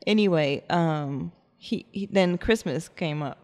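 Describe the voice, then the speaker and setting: A woman's voice: fairly low pitch, measured pace, darkish tone. A French Canadian woman from Montreal, speaking as a guest on a podcast in a rambling, casual way. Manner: rambling, lots of "like" and "you know", laughs easily. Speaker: guest, photographer. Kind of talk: casual interview chat. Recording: echoey room with long reverb.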